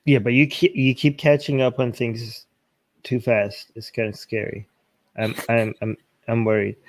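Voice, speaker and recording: A man's voice: medium pitch, little pitch movement, no room sound. Playful self-mocking man, dry close sound. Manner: playful, self-mocking, halting with many fillers. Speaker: co-host. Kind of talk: casual live Q&A chat.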